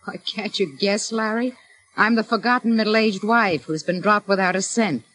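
An actress playing a bitter, menacing middle-aged wife in 1940s radio drama style, sarcastic and taunting. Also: female voice, mid-range voice, moderate pace, a bit bright, lightly acted, poor audio. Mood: mock sweet menace.

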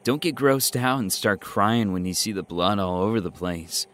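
A man's voice: lowish voice, normal speed, fairly bright tone, close to an everyday voice. A man voicing a cocky, grumbling young male hunter in an audio story, with taunting, whiny bravado. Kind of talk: audio short story character acting.

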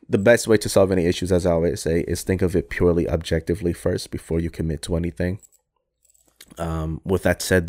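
A young man co-hosting a casual chat, animated and jokey, swearing and laughing often. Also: low voice. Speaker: podcast co-host.

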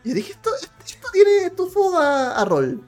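A man's voice: very high voice, big pitch swings, normal speed, a little mellow. A man with a Chilean accent co-hosting a casual podcast, telling stories by acting out reactions. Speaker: podcast co-host. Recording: faint music behind. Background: faint music.

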